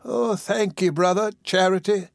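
A man acts out a sickly old woman in a storybook tale. The voice is weak, plaintive and raised in pitch, recorded close and dry. She speaks in folksy Southern dialect with pious resignation. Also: fairly even intonation, a little slow, vocal fry, no echo. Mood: feebly grateful.

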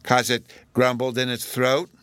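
A man's voice: mid-range voice, monotone, measured pace, a bit bright, clean tone, no echo, voice pushed up, close to an everyday voice. A man voicing an earnest, stubborn small boy who talks in childish grammar with babyish mispronunciations.

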